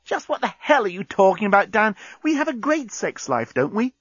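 An exasperated, defiant woman acted out by a male narrator in an audiobook. The voice is pushed well up in pitch and swings widely, indignant and scolding, with emphatic stresses. It sits in a very dry recording. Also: wildly swooping pitch, normal speed, breathy edge, dry recording, muffled recording, full character voice. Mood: defensive, indignant. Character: exasperated, defiant woman in a long-term relationship. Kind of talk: audiobook character voice.

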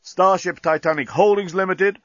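A man voicing a cold, legalistic alien mercenary spokesman, harsh and booming, making a formal announcement in an audiobook. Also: fairly even intonation, normal speed, a bit bright, no room sound, lo-fi sound. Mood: officious.

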